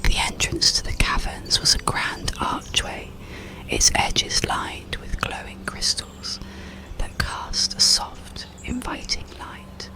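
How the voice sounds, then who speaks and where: A narrator reading an ASMR bedtime story in a calm, breathy, soothing whisper, unhurried throughout. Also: rough recording. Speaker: audiobook narrator.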